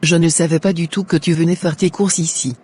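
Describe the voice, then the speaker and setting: A man voicing a kind, trusting, pious mother in an animated moral drama, with polite scripted phrasing. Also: on the high side, a little fast, slightly rough. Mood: polite, friendly. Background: faint music.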